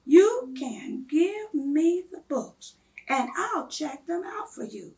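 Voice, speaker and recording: A woman voicing a gentle, helpful lady from a storybook. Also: very high-pitched, very animated, slow pace, voice pushed up, heavily acted.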